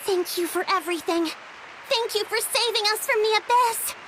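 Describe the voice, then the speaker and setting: A woman voicing a small, cheerful, chatty fairy girl with a squeaky childlike voice for a video game. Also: normal speed, fairly bright tone, a little hiss or hum, rough recording. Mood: eager. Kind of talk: video game cartoon-like acting.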